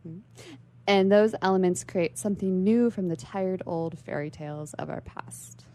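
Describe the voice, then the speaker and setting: Bookish young woman with a lowish voice. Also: dark tone. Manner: bookish, articulate, long measured sentences. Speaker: interview guest. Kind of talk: prepared explanation in a radio interview. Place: radio station studio.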